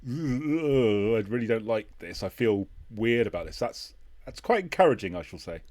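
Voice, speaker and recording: A man hosting a casual interview, speaking hesitantly and encouragingly and often trailing off softly. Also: medium pitch, animated, normal speed. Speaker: podcast host, interviewer.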